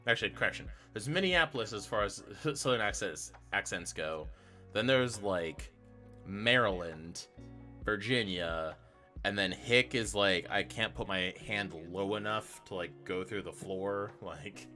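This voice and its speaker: Young male co-host, bright low-ish voice, rambling and profane, casual chat, faint music behind. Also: unhurried. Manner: rambling, profane, self-correcting storyteller. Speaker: trivia stream co-host.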